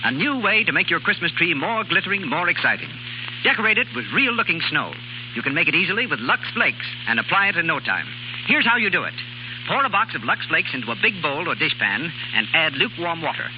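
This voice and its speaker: Male radio announcer, 1930s scripted advertising style, fast, muffled noisy recording. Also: a little high, very animated.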